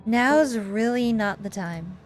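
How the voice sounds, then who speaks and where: A woman's voice: a little high, takes it slowly, soft background music. A woman voicing a prickly, exasperated female cousin in a visual novel game, scolding and sarcastic. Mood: dismissive, irritated.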